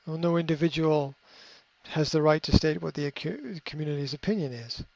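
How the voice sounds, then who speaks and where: A man's voice: a little high, brisk, creaky, darkish tone, no echo. Reflective man with a dull dry sound. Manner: reflective, many fillers and restarts. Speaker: coach answering a question. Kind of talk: unscripted advice talk. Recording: dry, slightly muffled recording.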